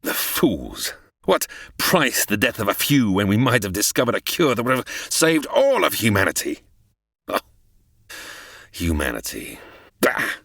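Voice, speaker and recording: Wildly theatrical, gloating mad-scientist villain. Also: male voice, fairly low pitch, wildly swooping pitch, unhurried, gravelly, a bit bright, breathy edge, no room sound, clean studio sound, heavily acted. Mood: bitter, vengeful. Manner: theatrical, condescending, formal. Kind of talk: old-time radio melodrama parody acting.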